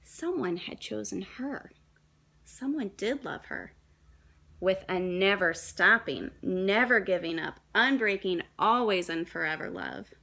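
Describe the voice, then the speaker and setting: A woman, a young adult, reading a children's story aloud in a dramatic, deliberate way with shifts between emphasis and hush. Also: medium pitch, expressive, gravelly. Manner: dramatic, deliberate phrasing with hushed and emphatic moments.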